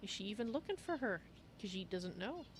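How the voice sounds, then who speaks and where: A woman's voice: medium pitch, very animated, a little fast, a little hiss or hum. A woman playfully musing aloud as she narrates her video game roleplay in character.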